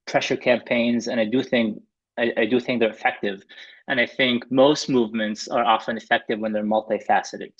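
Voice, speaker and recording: A man's voice: mid-range voice, muffled recording. A man from Lebanon giving a webinar talk over a video call. He speaks earnestly, with many hesitations and restarts. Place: room at home. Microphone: computer mic on a video call.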